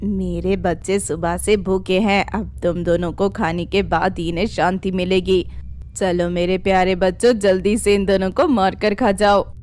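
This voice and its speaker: A woman's voice: mid-range voice, fairly even intonation, unhurried, darkish tone, voice pushed down, close to an everyday voice. A woman voicing a deceitful witch mother in a horror story, speaking with dramatic emphasis. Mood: menacing.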